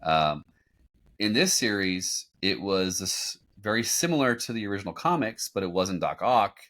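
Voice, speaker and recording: Guy with a lowish voice hosting a podcast, chatty recap, kinda stop and start. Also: expressive, normal speed, a bit bright. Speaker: podcast host. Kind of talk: casual recap commentary on a cartoon. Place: home room, on a group video call.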